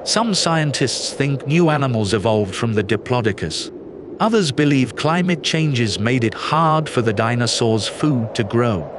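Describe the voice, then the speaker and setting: A man reading a children's nonfiction picture book aloud in a clear, patient, teacherly way. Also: medium pitch, animated, a little slow, noisy background. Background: background music.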